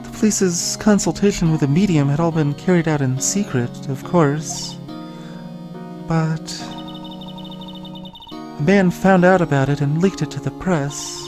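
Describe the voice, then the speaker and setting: A man voicing a soft-spoken, sorrowful young woman who is a spirit medium in a video game, speaking haltingly and earnestly. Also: on the high side, lightly acted. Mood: subdued. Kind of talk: voiced video game dialogue. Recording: music behind the voice.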